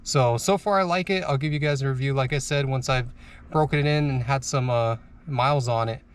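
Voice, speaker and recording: A young man talks his viewers through a truck radio install in a relaxed, chatty way, often pausing on "uh". His voice sits at an ordinary middle pitch and is picked up by a camera mic that drifts nearer and farther. Faint background noise sits under it. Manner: relaxed and chatty, many "uh" pauses. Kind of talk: casual how-to vlog narration.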